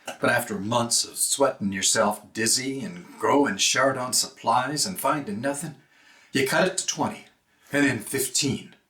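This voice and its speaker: An actor as a seasoned gold prospector in a very echoey room. His mid-pitched voice is knowing and world-weary as he tells a cautionary tale with emphasis in a stage monologue. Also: measured pace, pushed and bright. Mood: knowing warning.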